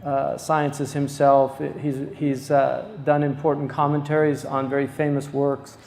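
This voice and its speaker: A man hosting a lecture, introducing a guest scholar in unscripted, halting talk with many pauses and fillers. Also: mid-range voice, flat delivery, a little mellow. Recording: echoey hall, distant mic.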